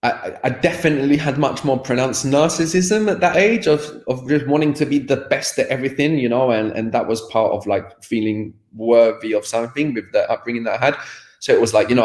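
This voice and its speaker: A man's voice: medium pitch, darkish tone. Man, podcast guest, candid, reflective, restarts often, roomy echo. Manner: reflective, many fillers and restarts. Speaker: interview guest, language teacher. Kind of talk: candid personal conversation.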